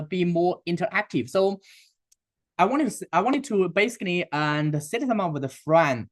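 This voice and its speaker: A man with a Chinese accent presenting a sales webinar, talking in a halting way with many fillers and restarts. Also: high voice. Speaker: webinar host and sales coach.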